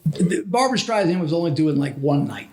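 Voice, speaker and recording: High-voiced American man telling stories. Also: expressive, darkish tone. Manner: halting, conversational storyteller. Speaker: podcast guest. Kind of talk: casual reminiscing interview talk.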